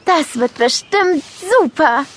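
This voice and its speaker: A woman's voice: sits high, slow pace. A woman playing an eager, chatty young schoolgirl who loves ponies in a children's audio story. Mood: excited. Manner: excited, expressive, exclaiming.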